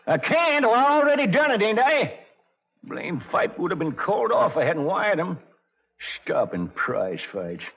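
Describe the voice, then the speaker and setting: An actor playing an older, blustering, folksy frontier saloon judge in an old radio drama, with an American Western drawl and a gravelly voice. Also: male voice, high voice, very animated, normal speed, exaggerated voice. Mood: defiant. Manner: folksy, bombastic, self-important. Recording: muffled vintage radio recording.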